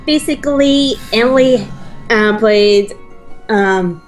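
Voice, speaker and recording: A woman's voice: a little high, slow pace, rough recording. A woman chatting casually as a co-player during a video game session. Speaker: co-player and guest commentator. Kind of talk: casual gameplay chat. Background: game music.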